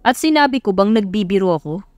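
A woman's voice: medium pitch, animated, fast, no echo, soft background music, natural voice. Woman doing a bossy, impatient young male CEO. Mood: stern concern. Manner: commanding, impatient.

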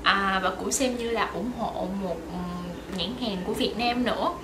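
A woman's voice: mid-range voice, unhurried, roomy sound, noisy background. A young woman vlogging to the camera in a small bedroom, chatting in a friendly way with many fillers. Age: young adult. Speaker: vlogger, shoe painter. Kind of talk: casual vlog talk to camera.

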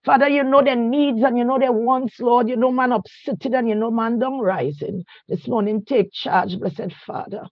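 A man leading a spontaneous spoken prayer, pleading fervently and closing each phrase with a softer "oh God" or "Lord". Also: very high-pitched, dry recording. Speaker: prayer leader. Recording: dull, dry radio recording.

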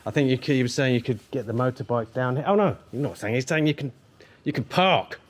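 A man's voice: medium pitch, fast. Chatty man in echoey empty rooms. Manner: chatty, thinks aloud, mixes in broken Thai phrases. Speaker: vlogger touring a house for sale. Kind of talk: casual walk-through narration. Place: inside an empty house with hard floors.

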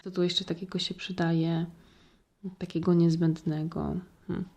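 A woman with a somewhat low-pitched voice speaks as a podcast co-host. Her delivery is casual and conversational, like an informal chat. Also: little pitch movement, measured pace, vocal fry, a little mellow.

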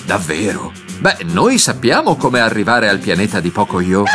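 A woman's voice: a bass voice, sing-song, moderate pace. A woman narrating a children's cartoon, expressively telling the story and speaking directly to the characters and young viewers. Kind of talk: animated scripted storytelling to children. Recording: music bed under the voice. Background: cartoon music.

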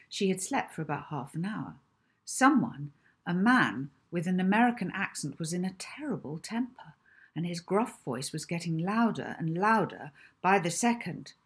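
Woman reading a kids novel out loud, bit raspy, lowish voice, careful storytelling. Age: middle-aged. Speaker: author reading own book. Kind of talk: children's audiobook narration.

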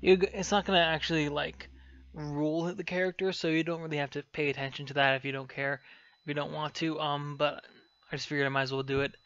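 A man's voice: a little high, little pitch movement, fast, fairly bright tone, clear, resonant tone, dry recording, lo-fi sound. A man giving casual, rambling gaming commentary in a hesitant, self-conscious way. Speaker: game streamer introducing a playthrough. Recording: music behind the voice. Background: game music.

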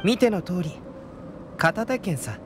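A man voicing a calm, polite young genius alchemist in an anime game, with a soft youthful voice and composed delivery. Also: high-pitched, background noise, heavily acted. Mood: composed, intrigued. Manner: composed, measured, quietly curious. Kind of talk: Japanese anime game voice acting. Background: game music.

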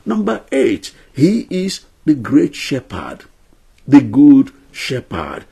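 A man's voice: mid-range voice, wildly swooping pitch, takes it slowly, creaky, dark tone, husky. An older man teaching a Bible lesson to camera in a small room at home, earnest and emphatic, counting out his numbered points.

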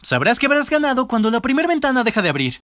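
Fast, high-pitched expressive male storyteller. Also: no echo, clean studio sound. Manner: expressive, comedic, self-deprecating. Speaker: narrator of an animated storytime video. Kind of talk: animated storytime narration, fan dub.

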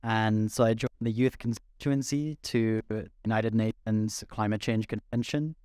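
A man's voice: fairly low pitch, a little mellow, no echo, studio quality. A young man from London introducing himself as a panelist on stage, earnest and upbeat, with a handheld mic. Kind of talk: panel talk. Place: stage in a large event hall.

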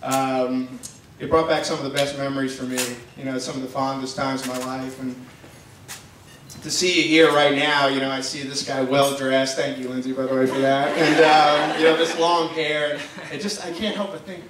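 A young man giving an informal, joking best man's wedding speech in a halting, affectionate, teasing way over a PA in a tent. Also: mid-range voice, flat delivery. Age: young adult. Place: large wedding reception tent at night. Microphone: handheld PA mic, picked up by distant camera. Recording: distant echoey PA sound, noisy guest-filled tent. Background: noisy wedding guests.

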